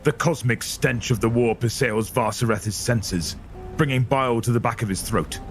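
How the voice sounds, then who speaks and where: A man reading dramatic scripted narration for a dark audio story. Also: mid-range voice, moderate pace. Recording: narration over loud music.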